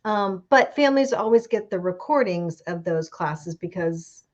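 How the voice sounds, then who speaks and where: A woman's voice: lowish voice, animated, unhurried, a little mellow, dull audio. A woman host chatting casually, encouraging her guest and laughing along. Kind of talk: casual interview chat.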